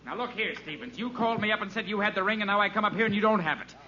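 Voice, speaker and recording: An actor playing a stern, impatient gentleman with brusque, clipped speech in old radio comedy style. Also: high voice, animated, racing. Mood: indignant. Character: stern, impatient gentleman demanding his property. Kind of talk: 1940s-50s radio comedy acting. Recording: muffled, very noisy old radio recording.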